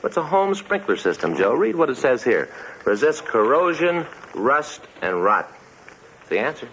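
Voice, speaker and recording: A man's voice: medium pitch, big pitch swings, normal speed, fairly bright tone. A man acting a talkative, earnest policeman with an American accent, in folksy 1950s radio drama style. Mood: eager. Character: chatty, earnest homeowner policeman, enthusiastic and easily aggrieved. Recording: muffled old radio recording, faint noise.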